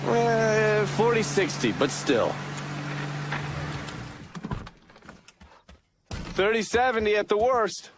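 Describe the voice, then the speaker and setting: An actor playing a wry, cool-headed war buddy who plans covert operations, talking casually in a video game cutscene. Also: male voice, high voice, very animated, unhurried. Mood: teasing. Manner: casual, teasing, matter-of-fact. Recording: loud vehicle and outdoor noise.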